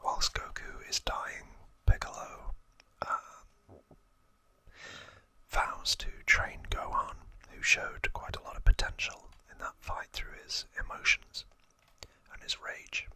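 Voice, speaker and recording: An ASMR host whispering trivia in a breathy, unvoiced voice, explaining hesitantly with soft pauses. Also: dry recording.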